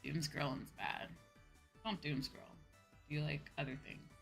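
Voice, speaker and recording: A woman's voice: low-pitched, steady tone, unhurried, creaky, faint music behind. A woman livestreamer chatting casually, in an informal, slangy, ranting way that acts out her own reactions. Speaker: VTuber livestream host.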